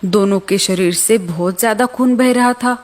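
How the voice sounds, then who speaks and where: A woman's voice: mid-range voice, normal speed, a little mellow. A woman reading scripted narration for a children's animal story as the storyteller.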